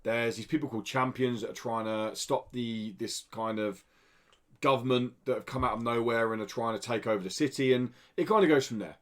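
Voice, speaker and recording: A man's voice: medium pitch, a little fast. A man co-hosting a podcast, giving an enthusiastic, casual spoken review in conversation. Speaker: podcast co-host reviewing indie games. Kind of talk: casual game review chat.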